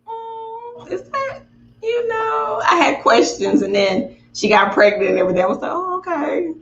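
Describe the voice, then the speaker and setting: Lively, bright-voiced American woman host. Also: extremely high pitch, moderate pace, creaky, highs cut off, a little hiss or hum. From Houston, Texas, United States. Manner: exclamatory, dramatic, acts out quoted remarks. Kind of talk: casual chat.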